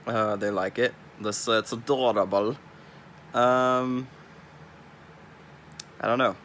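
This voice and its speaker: A man's voice: mid-range voice, steady tone, slow pace, a bit bright, a little hiss or hum. A young man from California with a Californian accent, rambling bluntly and swearing freely in a casual vlog. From California, US West Coast. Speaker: vlogger.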